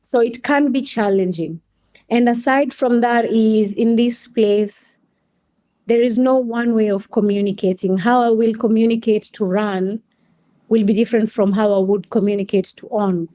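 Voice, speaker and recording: A woman's voice: on the high side, steady tone, normal speed, mellow. A woman from Kenya with a Kenyan accent, explaining things articulately in a conversational interview as a guest. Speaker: podcast guest, coffee company staff member.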